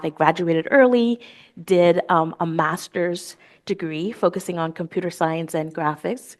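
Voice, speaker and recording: Articulate woman professor from the Bahamas. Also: mid-range voice. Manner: articulate, reflective storytelling. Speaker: guest lecturer, professor. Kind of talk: interview answers on stage.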